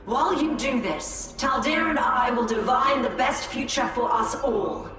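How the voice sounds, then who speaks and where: An actress playing a commanding, mystical elf seer. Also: female voice, sits high, measured pace. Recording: distant, very echoey, with music.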